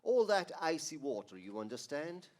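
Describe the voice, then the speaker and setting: A man portrays a benevolent heavenly supervisor in a radio play. His voice is high and animated, with fatherly authority as he explains and instructs. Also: moderate pace. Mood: reminiscing. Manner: avuncular, instructive. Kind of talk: old-time radio drama acting.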